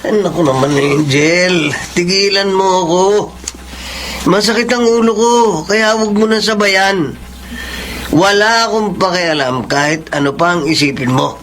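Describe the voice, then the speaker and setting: An actor playing a selfish, short-tempered, defiant boyfriend in a Filipino radio drama, dismissive and taunting. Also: male voice, sits high, unhurried, a bit bright, slight background noise, poor audio. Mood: evasive, irritable.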